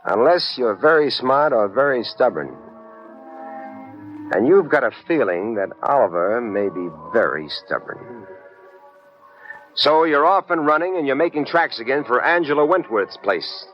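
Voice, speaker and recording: A hard-boiled American gumshoe, talks in wry, slangy noir style. He is smooth and mid-voiced. The old radio drama recording is muffled, with music running clearly underneath. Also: mid-range voice, sing-song, a little slow. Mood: wry, tense narration. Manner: wry, slangy, second-person noir narration. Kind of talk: 1950s radio detective drama acting.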